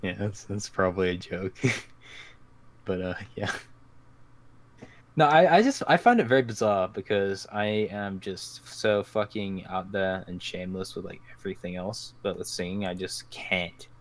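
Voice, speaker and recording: A man with a fairly low voice hosts a loose podcast chat over a voice call. He rambles, swears freely and pokes fun at himself. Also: measured pace. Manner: profane, rambling, self-mocking. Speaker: podcast host.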